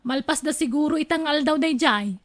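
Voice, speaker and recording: A woman's voice: high voice, a little fast, close to an everyday voice. A woman acting as a chatty wife in a conversational radio drama scene. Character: chatty wife of a working man.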